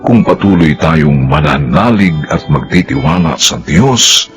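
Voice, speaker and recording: A man narrating a radio drama from a script in a solemn, measured manner. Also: rumbling low, lively intonation, faint music behind. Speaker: narrator and director.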